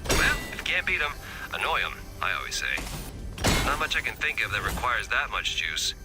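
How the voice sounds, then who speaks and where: An actor voicing a brash, playful resistance fighter in a video game, breezy and joking in manner. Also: male voice, medium pitch, sing-song, normal speed, over loud music, lightly acted. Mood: cocky, gleeful. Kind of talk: video game mission dialogue.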